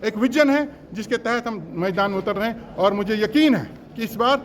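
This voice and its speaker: A man's voice: high-pitched, moderate pace, a little hiss or hum. This is a man. He speaks as a candidate on the campaign trail, formal and earnest. He drives his points home with emphatic repetition. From Jammu and Kashmir, India. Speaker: election candidate. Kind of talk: political campaign speech in formal Urdu-heavy register.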